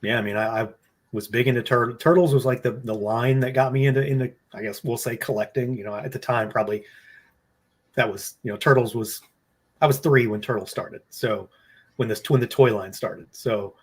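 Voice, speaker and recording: A man's voice: mid-range voice, brisk, slightly rough. Rambling man in his late thirties. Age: 30s. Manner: relaxed, rambling with many fillers. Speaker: guest toy collector. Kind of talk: casual chat.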